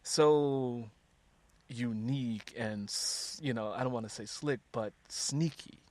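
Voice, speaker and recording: A man's voice: medium pitch, slow pace, darkish tone, no echo. A man hosting a casual interview, talking conversationally and expressively with little acted asides. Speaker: host, interviewer.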